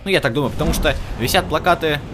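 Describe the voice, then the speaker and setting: A man's voice: on the high side, racing, background noise. A man giving casual let's play commentary as host, chatting to the game's characters and to his viewers. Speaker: gaming video host.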